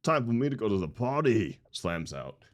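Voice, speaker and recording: A man's voice: fairly low pitch, sing-song, normal speed. A man playing a tabletop roleplaying game, talking casually in and out of character.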